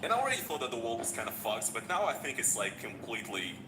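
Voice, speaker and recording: A young man speaking casually in a played video clip, loose and quick with frequent "you know". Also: big pitch swings. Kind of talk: casual talk. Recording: echoey clip with clear rumble.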